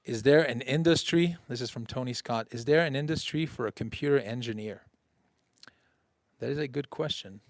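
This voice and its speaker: Man, mid-range voice, casual co-host, relaxed hedging, dry sound. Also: mid-range voice, moderate pace, no room sound. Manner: easygoing, hedging with "you know". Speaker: co-host reading viewer questions. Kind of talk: casual Q&A livestream.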